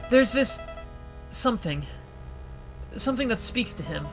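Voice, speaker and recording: A man voicing a frightened, tearful young woman crew member who stammers and speaks haltingly. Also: extremely high pitch, very animated, over music, pitched above the performer's own voice, exaggerated voice, rough recording.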